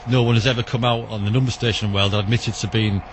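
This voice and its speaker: British man explaining outdoors amid clear noise. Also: fairly low pitch, fairly even intonation, quick pace. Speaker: shortwave radio hobbyist being interviewed. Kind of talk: informal on-location interview. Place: outdoors in an urban back alley. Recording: noisy outdoor location with radio sound.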